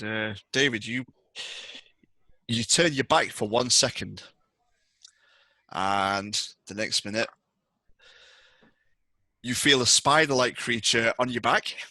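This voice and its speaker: A man's voice: lowish voice, pushed and bright, dry recording. A man working as game master, improvising narration for a tabletop horror role-playing game.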